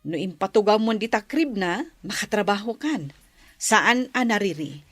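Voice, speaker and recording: A woman's voice: medium pitch, animated, normal speed, close to an everyday voice. A woman with an Ilocano accent playing a kindly, encouraging madam in a radio drama. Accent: Filipino, Ilocano. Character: kindly, encouraging adult woman, addressed as ma'am.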